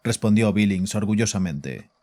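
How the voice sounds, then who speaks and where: An actor narrating a horror audio drama and playing its composed psychiatrist, measured and grave in a deep, dark voice. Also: male voice, expressive, close to an everyday voice. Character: composed, probing male psychiatrist who also narrates. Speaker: narrator and doctor.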